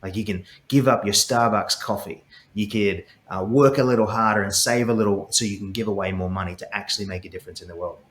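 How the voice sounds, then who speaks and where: Middle-aged Aussie man, low-pitched, eager guest in an echoey room, stumbles into his points. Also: fast talker, few pauses, fairly bright tone. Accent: Australian. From Australia. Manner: passionate, repeats words when starting sentences. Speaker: guest, startup CEO. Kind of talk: earnest interview conversation.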